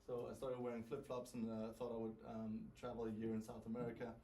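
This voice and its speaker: A man's voice: medium pitch, completely flat delivery, quick pace, warm tone. A man in his thirties from Denmark and southern France, talking informally and self-deprecatingly to students as a startup investor and guest speaker. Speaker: venture capital founder, guest speaker. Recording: distant mic with faint noise.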